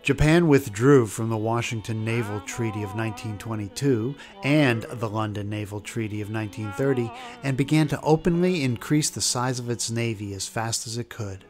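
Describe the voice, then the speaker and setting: A man's voice: mid-range voice, moderate pace, few pauses, a little mellow, faint music behind. A man hosting a documentary with scripted history narration. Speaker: documentary host.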